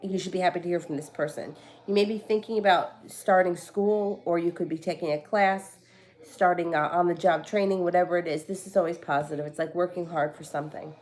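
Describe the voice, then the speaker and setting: A woman in her thirties with a slightly low voice, stuffy and congested from a cold. She reads tarot cards in a loose, rambling, chatty way, full of fillers and false starts. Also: fairly even intonation, brisk, darkish tone. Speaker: tarot reader. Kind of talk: casual live-stream tarot reading. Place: home.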